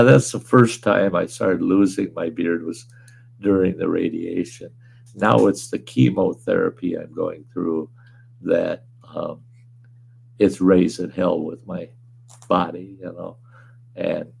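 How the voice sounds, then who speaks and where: Hoarse, deep-voiced gravelly man. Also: fairly even intonation, slow, darkish tone. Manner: laid-back, rambling, chuckles often, salty language. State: hoarse, weakened voice. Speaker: livestream host. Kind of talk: casual livestream chat reading viewer comments. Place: at home.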